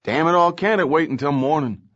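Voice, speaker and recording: A cranky male police lieutenant, roused from sleep, grumbles and slurs his answers, as a man reading an audiobook puts him on. His voice rides higher and louder than the narration. The audio is a touch dull and lo-fi. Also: expressive, a little slow. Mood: irritated. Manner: slurred, grumbling, exasperated.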